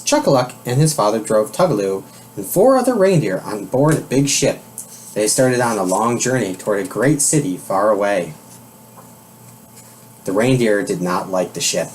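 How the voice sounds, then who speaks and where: Male storybook reader, mid-range voice, lively melodic delivery, measured phrasing, some background noise. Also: mid-range voice. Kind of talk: children's storybook read-aloud.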